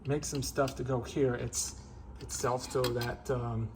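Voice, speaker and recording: A man with a mid-range voice narrates his own hobby repair as he goes. His delivery is casual and halting, often pausing to work things out aloud. It is a homemade recording with clear noise behind the voice. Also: medium pitch, level tone. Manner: halting, hesitant, thinking aloud. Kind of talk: casual unscripted how-to narration while working.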